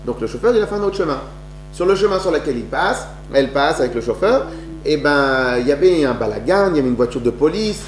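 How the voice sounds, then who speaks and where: A man's voice: mid-range voice, darkish tone. A man giving a religious talk to an audience, telling stories with many restarts and rhetorical questions. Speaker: lecturer. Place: lecture hall. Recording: echoey room with faint hum.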